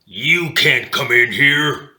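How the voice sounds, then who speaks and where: A tough bulldog doorman from a storybook , acted out by a man, speaks in blunt, slow, loud lines. He is gruff yet obedient to his boss. The room rings around him. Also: mid-range voice, lively intonation, reverberant, full character voice. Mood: menacing. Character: mean-looking male bulldog bouncer, gruff but obedient. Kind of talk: storybook character voice.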